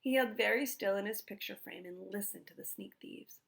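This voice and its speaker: A woman reading a children's book aloud in an expressive storytelling style. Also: medium pitch, creaky. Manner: pauses to put questions to young listeners. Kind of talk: expressive read-aloud narration.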